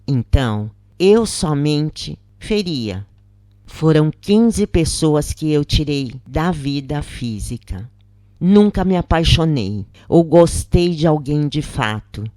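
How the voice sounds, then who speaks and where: A narrator reading a scripted first-person account in measured, deliberate phrases with pauses. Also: medium pitch, expressive, warm tone, no echo, studio quality.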